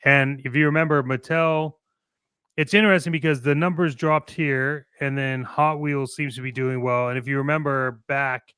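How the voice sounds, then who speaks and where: A man with a clear, smooth voice and a close, dry sound, chatting casually as a talk show co-host. He is blunt and opinionated in how he puts things. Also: medium pitch, fairly even intonation, moderate pace, pushed and bright, no room sound. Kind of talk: casual chat about toy collecting.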